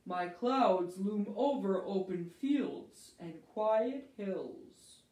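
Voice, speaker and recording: A woman voicing a big rumbling thunderstorm, loud and slow, as she reads a storybook aloud. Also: animated. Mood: booming. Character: booming rumbling thunder storm, big and looming. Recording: roomy, distant mic.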